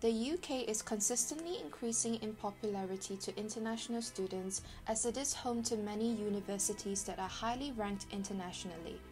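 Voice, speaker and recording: A woman giving a scripted documentary narration in a measured, informative manner. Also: mid-range voice, darkish tone, faint music behind.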